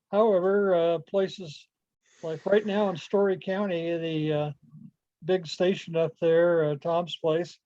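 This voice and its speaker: A man giving an informal club presentation over a video call, speaking haltingly with pauses. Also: sits high, dark tone, no room sound. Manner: halting, pausing delivery. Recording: dull, lo-fi video call audio.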